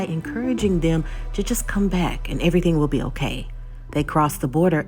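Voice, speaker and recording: A woman narrating a scripted episode recap as the host of a video channel. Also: low voice.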